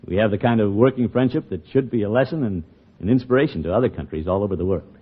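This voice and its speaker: An American actor playing a pompous, folksy middle-aged small-town uncle in a radio comedy, with self-important, oratorical delivery. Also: male voice, fairly low pitch, fast talker, natural voice. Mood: earnest, oratorical. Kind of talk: 1950s radio comedy acting. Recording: tinny old radio broadcast, muffled.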